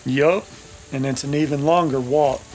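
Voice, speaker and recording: An actor playing a gruff, sarcastic bounty hunter with an in an old-time radio drama, dry and mocking. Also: male voice, mid-range voice, fairly even intonation, moderate pace, a little mellow, a little hiss or hum, natural voice.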